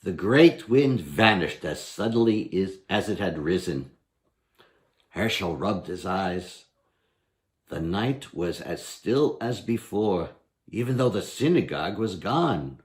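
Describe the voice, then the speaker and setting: A man's voice: low-pitched, very animated, takes it slowly. An older man reading a story aloud expressively, as a storyteller at home. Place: indoor room at home, by lit candles.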